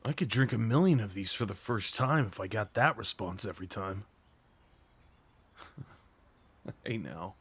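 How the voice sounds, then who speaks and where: Man playing sweet nervous gentle-giant boyfriend, ASMR roleplay, lively intonation, playful teasing, boyish, softly hesitant. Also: mid-range voice, husky, no echo, heavily acted. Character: big, sweet, nervous young man on first date. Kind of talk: ASMR roleplay audio drama, one-sided conversation.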